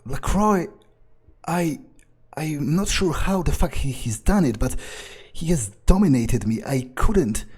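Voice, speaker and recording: A man improvising in character during a video game playthrough, speaking haltingly and broodingly in a husky voice. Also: on the high side, animated, a little mellow. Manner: halting, broody, heavy swearing. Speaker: gamer role-playing his character aloud.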